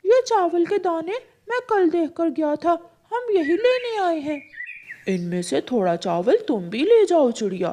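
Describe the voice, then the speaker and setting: A woman's voice: very high-pitched, very animated, unhurried, warm tone, slight background noise, exaggerated voice. A woman voicing a friendly, generous male bird in a children's cartoon.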